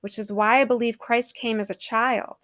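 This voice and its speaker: A woman's voice: a little high, fairly even intonation, moderate pace, a bit bright, no echo. Young woman doing a devotional talk, earnest and conversational, kinda reflective, close dry sound. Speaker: speaker giving a faith reflection.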